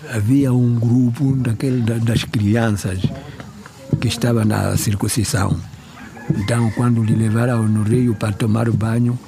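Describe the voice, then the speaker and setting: A man's voice: mid-range voice, level tone, moderate pace, vocal fry, music in the background. A man with the role of a village elder, telling local stories in an interview. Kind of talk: storytelling in an interview.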